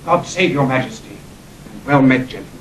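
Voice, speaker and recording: A formal, mid-pitched messenger. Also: male voice, expressive, a little fast, breathy edge, poor audio. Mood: urgent, grave. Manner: formal, declamatory. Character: urgent, deferential male bearer of bad news. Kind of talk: classical Shakespearean radio acting.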